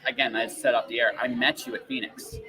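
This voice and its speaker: A man's voice: medium pitch, level tone, fast talker, bright, forceful tone. A man hosting a podcast in a casual, rambling way, often interrupting himself and tagging on little questions. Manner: rambling, self-interrupting, frequent tags like "right?". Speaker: podcast host.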